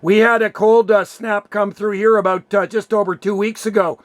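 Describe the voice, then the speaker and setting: A man's voice: sits high, level tone, moderate pace, no echo. A man making casual radio contact as an operator, chatty and full of radio jargon.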